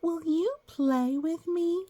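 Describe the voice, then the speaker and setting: A woman voicing a hopeful little girl looking for animal playmates, in a drawn-out, sing-song storybook delivery. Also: extremely high pitch, warm tone, no room sound, pitched above the performer's own voice.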